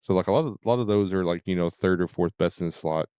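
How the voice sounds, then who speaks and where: A man talking casually as a game streamer, in a halting way with repeated and stuttered words. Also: low-pitched, mellow, clean tone, no room sound.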